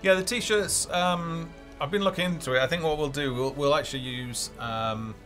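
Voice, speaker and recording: A man's voice: on the high side, normal speed, a bit bright. A man hosting a casual game developer live stream, talking off the cuff in a hesitant, rambling way as he thinks aloud. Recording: music playing behind the voice.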